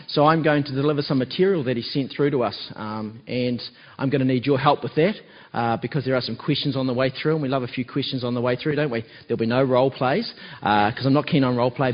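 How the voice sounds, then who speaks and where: Croaky New man, casual stand-in preacher, lots of ums, recorded dull in a reverberant church hall. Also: medium pitch. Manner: chatty, with many ums and tag questions. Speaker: lay preacher standing in for the pastor.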